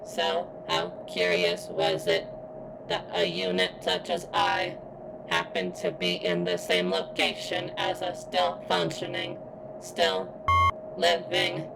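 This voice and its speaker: A woman voicing a female security robot that craves freedom, speaking formally and haltingly in a strained, pained ASMR roleplay delivery. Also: very deep voice, gravelly, background noise, heavily acted. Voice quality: strained, as if in pain.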